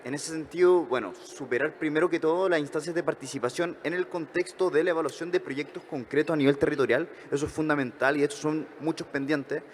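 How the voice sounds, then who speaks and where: A man with a Chilean accent giving reflections at a conference workshop, talking fast with frequent hesitations and self-corrections. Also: on the high side, in a large hall, slight background noise. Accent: Chilean Spanish. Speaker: conference speaker.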